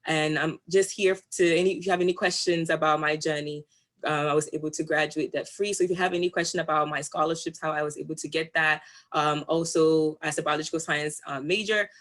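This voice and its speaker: A young woman from Ghana, a recent graduate, giving her introduction on a panel in a halting, earnest way with many fillers. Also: low-pitched, fairly even intonation, fairly bright tone. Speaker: student panelist.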